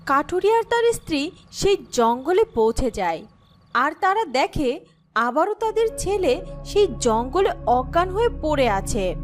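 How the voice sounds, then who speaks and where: High, animated woman narrating a fairy tale. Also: measured pace, soft background music.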